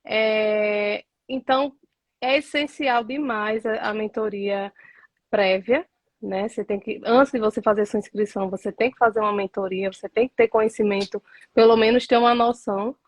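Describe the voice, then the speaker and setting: A woman from Northeast Brazil, a lawyer guest, explaining earnestly in a live interview with frequent filler pauses. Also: medium pitch, fairly even intonation, dry recording.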